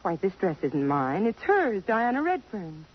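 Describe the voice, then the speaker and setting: A woman's voice: medium pitch, wildly swooping pitch, unhurried, breathy edge, heavily acted. An actress playing a bewildered, indignant young career woman in a 1950s radio drama. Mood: flustered, dismayed. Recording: muffled 1950s radio recording.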